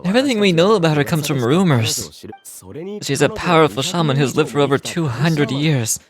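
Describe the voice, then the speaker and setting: Man acting a nervous young-sounding tribesman, slightly high. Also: lively intonation, moderate pace, crowd noise, close to an everyday voice. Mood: awed, earnest. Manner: anxious, apologetic. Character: nervous ordinary tribesman, timid and respectful. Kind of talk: game character dialogue.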